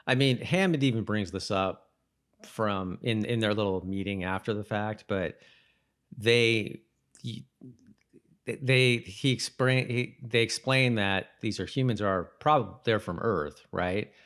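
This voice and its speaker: A man with a slightly low voice talks as a podcast co-host. He speaks in a loose, conversational way, discussing a show informally. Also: slow pace, a bit bright, clean tone. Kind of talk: casual chat about a TV episode.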